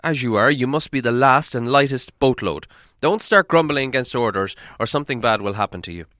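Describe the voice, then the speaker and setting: A man's voice: mid-range voice, expressive, brisk, sharp tone, dry recording, studio quality, pitched above the performer's own voice. A man playing a stern old dwarf leader in a storybook reading, blunt and authoritative. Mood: stern, bossy.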